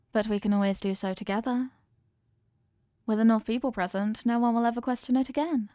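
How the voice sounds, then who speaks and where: An evil queen, played by a woman in a scripted audio roleplay, speaks with formal, measured authority. She is possessive and obsessively in love, with menace veiled under courtly manners. The sound is dry, with very little room in it. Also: medium pitch, mellow, dry recording, lightly acted. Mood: calm, commanding. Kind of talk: scripted roleplay monologue, ASMR audio drama.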